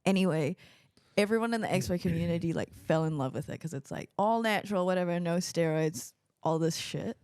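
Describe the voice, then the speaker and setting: A woman's voice: on the low side, fairly quick, a little mellow, smooth, no room sound, clean studio sound. A young woman co-hosting a podcast, telling stories in casual, bubbly chat. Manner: chatty, bubbly storyteller with laughs. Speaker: podcast co-host.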